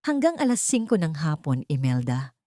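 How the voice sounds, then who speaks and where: Woman narrator doing a cold rich heiress out for revenge, low calm menace, audio drama style. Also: moderate pace, no echo, studio quality. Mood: steely ultimatum. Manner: calm, commanding, icy, menacing. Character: cold vengeful young mother turned powerful heiress.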